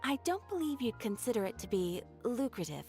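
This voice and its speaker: A woman's voice: fairly high pitch, sing-song, music in the background. A woman voicing a polite, composed guild receptionist who hands out commissions in a game. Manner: courteous, measured, formal.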